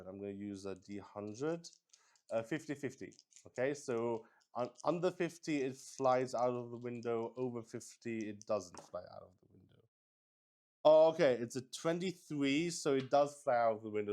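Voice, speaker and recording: A man casually improvising play-by-play of a solo tabletop game as its host, haltingly thinking aloud and talking to himself. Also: mid-range voice, a little mellow, clear, resonant tone.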